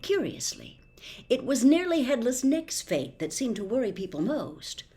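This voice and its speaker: A woman reading a storybook aloud as narrator, in an expressive storytelling style. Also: a little high, moderate pace. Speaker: audiobook reader.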